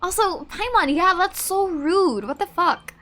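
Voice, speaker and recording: A woman's voice: extremely high pitch, animated, a little slow, sharp tone. A woman giving casual, playful playthrough commentary, reacting expressively to a game. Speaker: gaming video creator.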